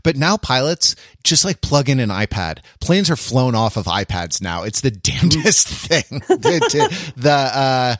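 A man co-hosting a podcast, explaining casually in a halting, conversational way that tends to trail off. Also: medium pitch, sing-song, a bit bright, dry recording, clean studio sound. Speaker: podcast co-host.

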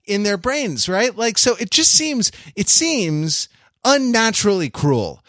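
A man chatting casually and analytically as a podcast panelist, in a halting, discursive way that circles back over phrases. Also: high voice, big pitch swings, a bit bright, no echo, clean studio sound.

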